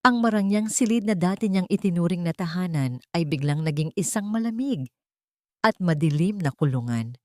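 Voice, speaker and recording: A woman's voice: medium pitch, no echo, studio quality. A woman with a Filipino accent narrating a dramatic scripted story.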